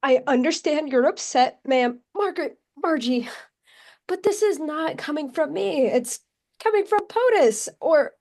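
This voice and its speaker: An anxious, officious female aide, played by an actress, barely holding herself together. Her voice is high and she speaks slowly, insistent even as she stammers, as in a play reading. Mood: nervous. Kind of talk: script table read.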